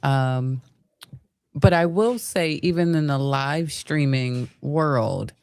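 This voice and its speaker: A woman's voice: sits low, takes it slowly, a little mellow, smooth, no echo, studio quality. A woman speaking casually and conversationally as a guest panelist on a live audio show.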